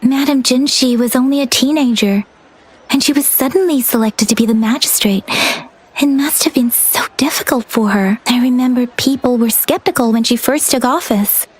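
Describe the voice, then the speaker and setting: A woman voicing a gentle, thoughtful young woman in a video game, speaking in a polite, earnest, measured way. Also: high voice, rough recording. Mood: thoughtful, sympathetic. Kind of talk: video game character dialogue.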